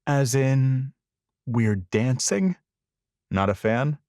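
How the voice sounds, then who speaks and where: Man voicing a shy, hesitant young woman, raised pitch. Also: expressive, very slow, darkish tone, clean tone, no room sound, studio quality. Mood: skeptical.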